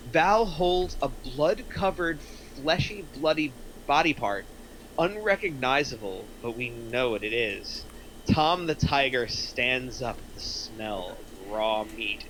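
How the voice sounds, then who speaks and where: A man reads screenplay stage directions as narrator. His voice is medium in pitch and notably bright, with faint noise in the recording. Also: lively intonation, takes it slowly, rough recording. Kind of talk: scripted narration at a table reading.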